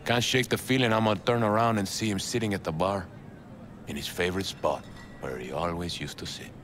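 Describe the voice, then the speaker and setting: A man's voice: deep voice, brisk, lightly acted. A man playing a wistful, world-weary barkeeper in a video game. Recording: clear ambient noise behind.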